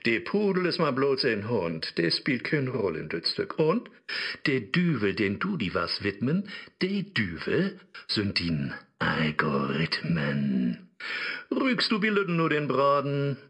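A man plays a tempting digital devil for a satirical radio play. His Low German verse swings wildly up and down, taunting and mocking, in a forceful, unhurried voice. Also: huge pitch swings, husky, exaggerated voice. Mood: scornful. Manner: theatrical, taunting, rhyming speech.

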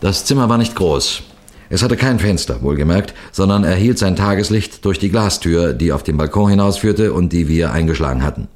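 A man playing a seasoned, composed police detective who narrates a 1960s radio crime drama in a measured, precise, formal way. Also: deep voice, animated, a little mellow. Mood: grave, suspenseful. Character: seasoned male police detective telling his cases, composed, authoritative.

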